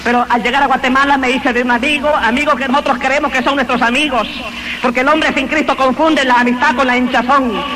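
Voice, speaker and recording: A man's voice: very high voice, fairly even intonation, fairly quick, noisy background. A man, giving a fervent, emphatic religious testimony that he tells as a story. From Honduras. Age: 20s. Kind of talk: evangelical testimony.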